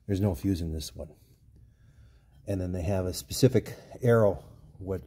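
A man's voice: low voice, moderate pace, dark tone, slightly rough. A man working as a mechanic, explaining a repair step by step in a plain, matter-of-fact way from his garage workshop. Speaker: snowmobile mechanic. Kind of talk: hands-on repair tutorial.

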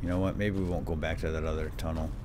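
A man's voice: rumbling low, little pitch movement, fast, noisy background. A man hosting a let's play with lightly role-played commentary, murmuring asides and breaking into exclamations. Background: game music.

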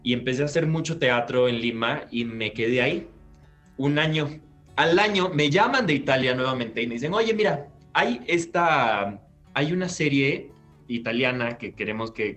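A man, an actor recounting his career in an interview over a video call from a bright living room. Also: mid-range voice. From Peru. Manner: animated, gestures while recounting, restarts phrases. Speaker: guest actor. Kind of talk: storytelling interview answer. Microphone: laptop webcam on video call.

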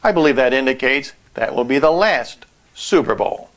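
An older man delivering an earnest religious monologue to camera in a deliberate, emphatic, didactic way. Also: mid-range voice, animated, fairly bright tone. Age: older, 60s-70s. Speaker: video preacher, host of a prophecy program.

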